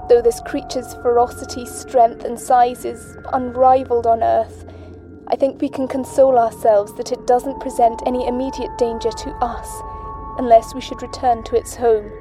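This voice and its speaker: A grave woman astronaut, played by an actress, delivers a sombre message as the last survivor in an audio drama. Her voice sits a little high and stays very even and measured. Clear music runs behind her ominous words. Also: natural voice. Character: grave, shaken woman astronaut doctor, sole survivor of expedition. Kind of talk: audio drama monologue, recorded message home.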